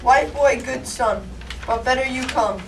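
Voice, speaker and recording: A woman's voice: high-pitched, a little slow, far from the mic, slight background noise. A woman voicing an insistent old Native grandfather who speaks clipped broken English.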